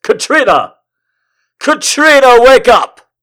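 An earnest young fantasy hero, acted out by a man, gallant and lovestruck. His delivery is melodramatic, with a raised pitch and very animated swings. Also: a little slow, exaggerated voice. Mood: alarmed. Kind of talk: dramatic reading of a fantasy story.

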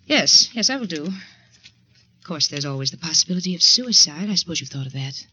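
An actress playing an icy, insinuating secretary with arch, pointed politeness in 1940s radio drama style. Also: female voice, low voice, expressive, moderate pace, heavy background noise. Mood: insinuating, menacing. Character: icy, insinuating woman secretary with veiled menace. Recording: dull, band-limited 1940s radio recording.

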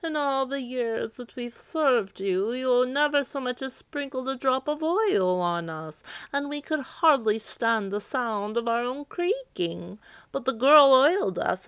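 Long-suffering enchanted gates that speak, voiced by a woman in a storybook. The voice is raised, drawn-out and aggrieved, heard close and dry. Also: sits high, lively intonation, fairly bright tone, smooth, no room sound, voice pushed up, heavily acted. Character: old creaking gates that talk, long-suffering.

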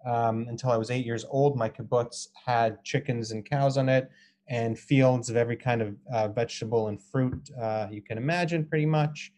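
An American man gives an informal online lecture as a teacher, speaking haltingly with frequent ums and pauses over a video call. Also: medium pitch, fairly even intonation, a little mellow, slight background noise. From Israel and Colorado, US. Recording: Zoom call audio.

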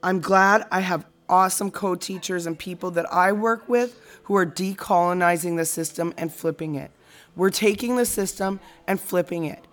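A woman's voice: lowish voice, moderate pace, close to an everyday voice. Woman playing an earnest, frustrated teacher. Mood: reflective, earnest. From Canada. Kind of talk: naturalistic audio drama dialogue.

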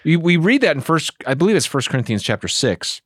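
A man's voice: fairly high pitch, fast talker, breathy edge, dry recording, clean studio sound. A man, a pastor, talking earnestly and with animation in a casual theology discussion. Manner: earnest, animated, acts out inner voices.

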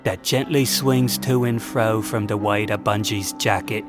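A man's voice: lowish voice, steady tone, measured pace. A man narrating an audio drama in a scripted, dramatic storytelling style. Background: music.

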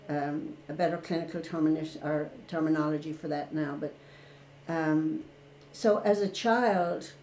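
Older Irish woman, candid reflective guest, warm tone, roomy sound. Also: fairly low pitch, a little hiss or hum. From Ireland. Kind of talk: personal recovery story in a podcast interview.

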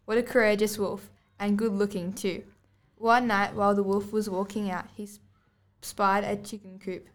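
A woman's voice: mid-range voice, flat delivery, moderate pace. A girl of about eleven or twelve reading scripted storybook narration aloud as a student podcast host. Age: child, about 11 to 12.

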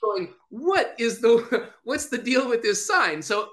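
A man's voice: extremely high pitch, big pitch swings, a bit bright. A man, a guest chatting casually, who halts and restarts often as he talks. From United States. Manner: halting, many restarts and fillers. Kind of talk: casual chat over video call.

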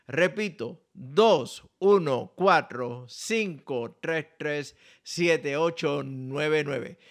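A man with a Puerto Rican accent, a pastor and ministry director delivering a scripted message slowly and deliberately. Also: on the high side, fairly bright tone, clear, resonant tone. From Puerto Rico. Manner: slow, deliberate, spells out contact details carefully. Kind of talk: scripted radio-style message.

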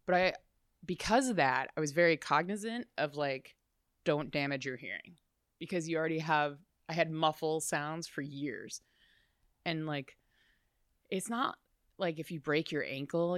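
A young adult woman talking as an interview guest, loud and chatty, laughing often. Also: low-pitched, dry recording, clean studio sound. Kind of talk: casual interview chat.

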